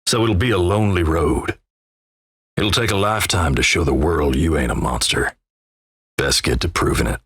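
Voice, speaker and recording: Wry Old West game narrator, American Western drawl, raspy, forceful edge, folksy, ominous, measured storytelling, close dry sound. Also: male voice, a bass voice, dry recording, heavily acted. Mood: somber, judging. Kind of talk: dramatic second-person scripted game narration.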